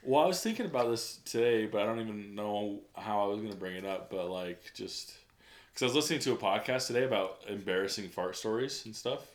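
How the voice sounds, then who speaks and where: A man's voice: on the low side, brisk. A man co-hosting a podcast, teasing his friends and laughing hard. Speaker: podcast co-host. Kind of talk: casual chat.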